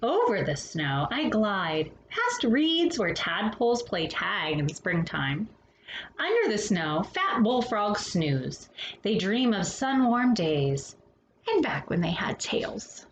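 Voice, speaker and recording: A woman hosting a storytime, reading a children's picture book expressively with dramatic pauses and casual asides. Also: medium pitch. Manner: animated, dramatic pauses between phrases. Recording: roomy echo with faint background noise.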